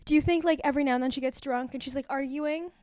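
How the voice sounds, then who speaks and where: A young woman co-hosting a casual podcast debate, loud and quick-talking with frequent laughs. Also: sits high, steady tone, no room sound, clean studio sound. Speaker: podcast co-host.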